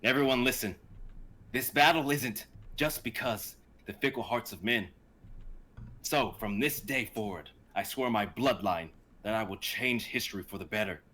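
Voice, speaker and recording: A man's voice: on the low side, moderate pace, bright, forceful tone. A man playing a brave, determined young knight in an amateur fantasy script reading, earnest and declamatory. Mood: resolute, rousing.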